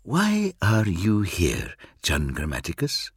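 A man voicing a cryptic male alien seer in an audiobook, speaking low, hushed and measured with calm superiority. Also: breathy edge, no room sound, clean studio sound, exaggerated voice. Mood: cool, probing. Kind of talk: audiobook reading.

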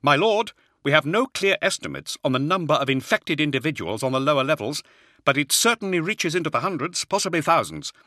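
Man voicing a high-pitched, nervous army colonel. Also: very animated, fairly quick, bright, forceful tone, dry recording, clean studio sound. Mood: anxious, deferential. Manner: hesitant, protesting politely. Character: nervous, deferential male army colonel, anxious under superiors. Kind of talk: audiobook character acting.

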